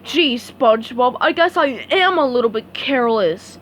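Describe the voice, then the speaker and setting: Woman doing a loud, clumsy starfish. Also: a little high, animated, normal speed, slight background noise. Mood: sheepish. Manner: loud and emphatic. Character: big clumsy goofy male starfish.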